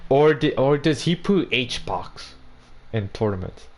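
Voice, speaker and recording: A young man co-hosting a casual gaming podcast. He rambles, repeats himself and restarts sentences. His pitch sits in an ordinary middle range. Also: animated, unhurried, a little hiss or hum. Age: 20s. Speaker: podcast co-host. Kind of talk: casual chat.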